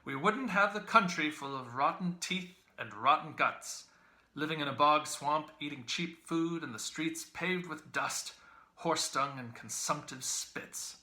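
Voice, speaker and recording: Guy doing a booming young irish med student from a novel, teasing and grand, bright voice in an echoey room. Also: measured pace. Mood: loud, scornful. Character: loud, mocking, theatrical young Irish medical student. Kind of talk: literary audiobook character reading.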